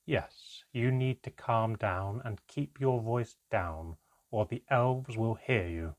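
A man voicing a cold, composed goblin father with an even, emotionless, measured manner. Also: lowish voice. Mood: cold, controlled.

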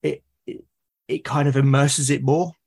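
A man with a British accent and a husky, slightly rough voice at a middling pitch. He speaks as an interview guest, explaining his thoughts earnestly in a conversational way. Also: little pitch movement, moderate pace, a little mellow. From Britain. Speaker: podcast guest, fiction podcaster.

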